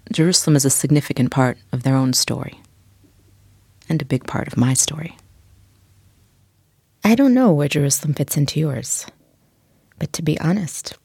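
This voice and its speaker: A woman narrating an audio journey, speaking softly and directly to the listener. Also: low voice, gravelly, breathy edge, no echo. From English-speaking country. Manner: soft, gentle, addresses the listener directly. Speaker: audio tour guide. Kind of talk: scripted intimate narration. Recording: faint background music.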